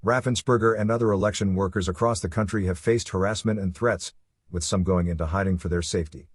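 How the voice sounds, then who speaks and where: A man reading a scripted news report in a formal, measured manner. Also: low-pitched, steady tone, husky, dry recording, studio quality. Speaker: news reader.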